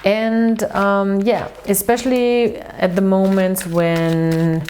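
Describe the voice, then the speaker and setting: A woman from Austria with an Austrian German accent, whose first language is German, giving a relaxed, chatty tarot reading to camera with frequent fillers. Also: mid-range voice, slow, a little hiss or hum. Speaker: tarot reader.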